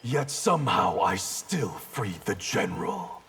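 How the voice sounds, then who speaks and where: An actor playing a cold, defiant ninja clan leader in a video game, haughty and menacing. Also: male voice, on the low side, big pitch swings, takes it slowly, fairly bright tone, a little hiss or hum, exaggerated voice. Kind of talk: video game character dialogue.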